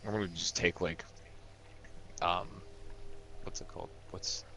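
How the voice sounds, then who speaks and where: A man's voice: deep voice, fairly even intonation, unhurried, sharp tone, slight background noise. A man chatting casually as a player in a tabletop role-playing game.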